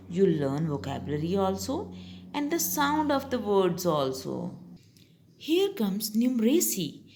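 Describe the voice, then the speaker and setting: A young woman teacher with a Pakistani English accent gives young pupils their summer tasks one step at a time. She speaks slowly and patiently. Her pitch swings up and down in a lively, sing-song way. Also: slight background noise. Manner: slow, patient, step-by-step instruction.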